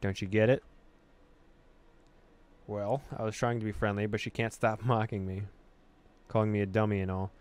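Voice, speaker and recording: Low, clear-voiced man reading a story game. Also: level tone, normal speed, pauses often, darkish tone. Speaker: gaming video host. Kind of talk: reading game text aloud with casual reactions.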